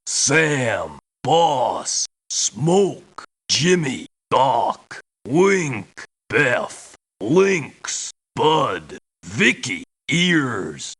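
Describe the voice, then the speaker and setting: A gruff male mercenary in a video game, speaking in isolated, very slow-spaced words. The voice is creaky and raspy. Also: medium pitch, fairly bright tone, exaggerated voice.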